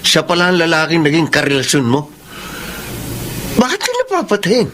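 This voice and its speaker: A man's voice: a little high, big pitch swings, moderate pace, a bit bright, slight background noise. An actor with a Filipino accent playing a hot-headed, wounded male lover in an intense, melodramatic radio drama style. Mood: suspicious.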